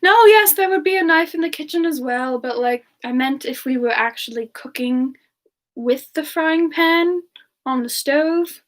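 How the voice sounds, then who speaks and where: A woman voicing a gentle, affectionate female frost demon in a semi-ASMR fan Q&A, soft-spoken and hesitant, drifting toward whispers. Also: sits high, measured pace. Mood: musing, casual. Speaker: ASMR character performer answering viewer questions. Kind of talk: semi-ASMR in-character livestream Q&A.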